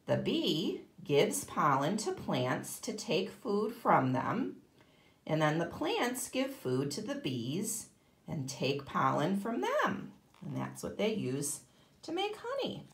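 Slow, very lively woman reading to children. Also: on the low side, reverberant. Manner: expressive, instructive, speaking to young children. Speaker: kindergarten teacher. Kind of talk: read-aloud of a children's book with explanations. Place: indoor room, at a table.

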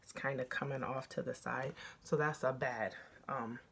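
A woman in her thirties with a raspy voice, chatting frankly through a product review, filmed on a phone at arm's length in a small room. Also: a bass voice, steady tone, fairly bright tone, slight background noise. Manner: chatty, frank, full of "um" pauses. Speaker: beauty vlogger.

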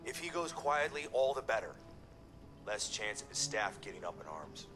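An actor playing a bitter robot worker in a video game, with an emphatic, clipped, theatrical delivery. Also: male voice, lowish voice, big pitch swings, moderate pace. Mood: vengeful. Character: bitter male robot worker demanding justice. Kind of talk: video game character acting. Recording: game audio with music and noise behind.

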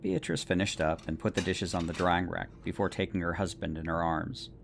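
A man's voice: fairly even intonation, a little fast, gravelly. A man narrating a scripted bedtime story for an audio drama. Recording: faint low rumbling noise.

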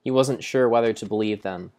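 A young man narrating gameplay as a solemn, deliberate, theatrical story. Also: lowish voice, a little mellow. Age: young adult. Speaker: game streamer. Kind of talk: dramatic storybook-style narration over gameplay.

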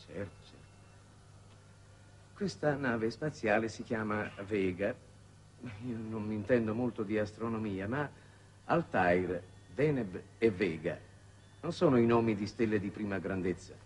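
An actor playing a shrewd, weary middle-aged statesman in a 1960s Italian television drama, speaking in formal, measured phrases. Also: fairly low pitch, lively intonation. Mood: probing, ironic. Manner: measured, formal, deliberate oratory. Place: television studio set. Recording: noisy old 1960s television soundtrack.

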